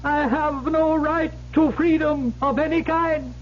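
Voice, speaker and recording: Slow, very high-pitched sly imp. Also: female voice, steady tone. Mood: coaxing, ominous. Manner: slow, deliberate, formal. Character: sly captive imp, devil's apprentice trapped in a bottle. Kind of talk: 1970s radio drama acting. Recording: dull, noisy old radio recording with hum.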